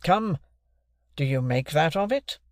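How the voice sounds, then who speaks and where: Man voicing a bullying, high-pitched gentleman cross-examiner. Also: expressive, unhurried, no room sound, clean studio sound. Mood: impatient. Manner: hectoring, interrogative, authoritative. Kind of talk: classic novel narration, character voice.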